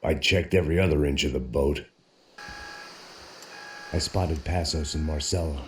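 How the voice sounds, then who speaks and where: A hard-boiled, middle-aged American video game hero with an exceptionally deep voice. He narrates like a noir antihero, sardonic and worn down by drink. Faint effects and ambience sit behind him. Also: normal speed, slight background noise. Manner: world-weary, deadpan, sardonic.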